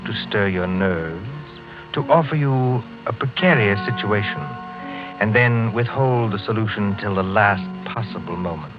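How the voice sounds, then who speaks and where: A man hosting a vintage radio mystery show with scripted, theatrical, ominous and measured delivery. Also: lowish voice. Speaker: announcer and host. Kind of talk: 1940s radio scripted narration. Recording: dull vintage 1940s radio recording. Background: dramatic music.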